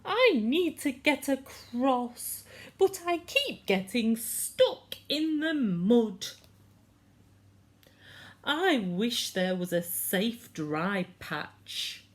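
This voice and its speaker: A woman's voice: on the high side, sing-song, drawn-out words, pitched above the performer's own voice, full character voice. A woman voicing a miserable, plaintive dog in a children's story.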